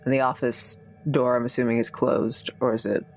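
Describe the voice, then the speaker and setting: A woman's voice: a bass voice, normal speed, faint music behind. A woman playing a tabletop roleplaying game, chatting playfully and full of exclamations. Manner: animated, exclamatory. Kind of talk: casual playful game chat.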